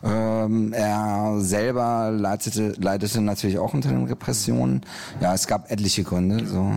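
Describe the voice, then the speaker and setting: Author in his forties being interviewed; low, gravelly, measured and hesitant. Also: fairly even intonation, a little mellow. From Iran. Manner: thoughtful, hesitant with many fillers. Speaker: comic artist and author. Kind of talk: interview about his book.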